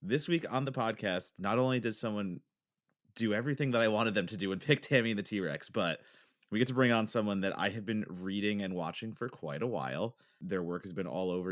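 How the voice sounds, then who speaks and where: A man's voice: low-pitched, no room sound, clean studio sound. A man co-hosting a podcast in casual chat, enthusiastic and prone to rambling. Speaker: podcast co-host.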